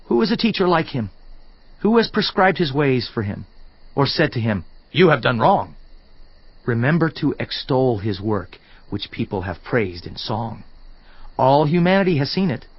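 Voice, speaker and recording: A fervent biblical orator speaks in a solemn, declamatory way, with strong emphasis and earnest conviction. His voice sits at a middling pitch with a slightly husky grain. The sound is a little dull, as in an audio drama with a muffled top end. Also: very animated, normal speed, dry recording. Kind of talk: dramatized scripture reading, oratorical.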